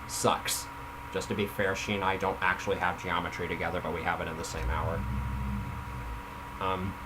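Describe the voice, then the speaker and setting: A man's voice: on the low side, a bit bright, a little hiss or hum, poor audio. A man reading a story aloud casually, with informal self-critical asides off the script. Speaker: reader of their own story.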